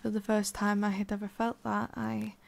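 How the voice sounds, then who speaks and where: A woman voicing a haughty, prickly witch with a hidden soft side in an ASMR roleplay, soft and often whispery with a creaky edge. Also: mid-range voice, little pitch movement, moderate pace. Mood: wistful, nostalgic. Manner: soft, often whispery, breathy sighs, curt asides.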